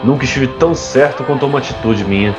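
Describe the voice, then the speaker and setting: A man's voice: mid-range voice, quick pace, lo-fi sound, natural voice. A young man playing an evasive, troubled rich playboy in an audio drama. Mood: evasive, affectionate. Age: young adult. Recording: music under the voice.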